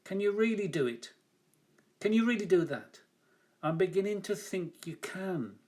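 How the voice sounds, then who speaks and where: Man acting out an excitable, stammering, awestruck shopkeeper. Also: sits high, moderate pace, pitched above the performer's own voice. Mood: eager, insistent. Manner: insistent, stammering, repeating himself.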